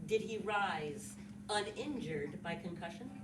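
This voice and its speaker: A woman's voice: on the low side, animated, takes it slowly. A woman giving a dramatic reading from a literary text, posing formal questions. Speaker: literary reader. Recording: roomy echo, distant mic, clear noise.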